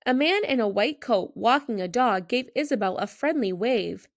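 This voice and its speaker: Female children's storybook narrator: expressive read-aloud delivery, noticeable vocal fry, close dry sound. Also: a little high, moderate pace, no echo.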